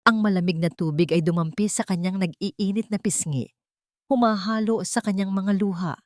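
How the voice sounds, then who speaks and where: A woman narrating a dramatic love story in measured, solemn, deliberate phrases. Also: medium pitch, no room sound, clean studio sound.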